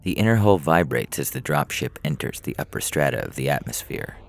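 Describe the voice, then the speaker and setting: A man narrating scripted fiction in a measured, dramatic storytelling manner. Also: extremely low pitch, expressive, gravelly. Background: music.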